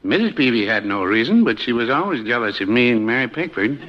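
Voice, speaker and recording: A man's voice: medium pitch, sing-song, quick pace, lightly acted. Droll older small-town husband played by a male actor, understated and mild, vintage radio sitcom, muffled sound with faint noise. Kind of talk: 1950s radio situation comedy acting. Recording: dull, faintly noisy 1950s radio recording.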